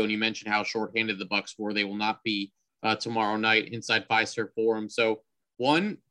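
A man's voice: fairly low pitch, sharp tone. A man hosting a sports interview in a conversational broadcaster's style. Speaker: sports podcast host and team radio announcer.

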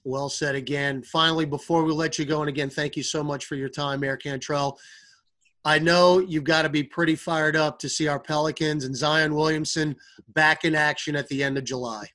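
A man's voice: a little high, steady tone, moderate pace, sharp tone. A man hosting a podcast, interviewing guests in a polished sports-broadcaster style.

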